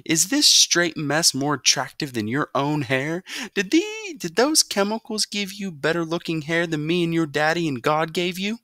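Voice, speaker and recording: A man's voice: a little high, expressive, moderate pace, hardly any pauses, sharp tone, no echo, clean studio sound, pitched above the performer's own voice. A man voicing a stern, exasperated mother scolding her son in a storybook reading.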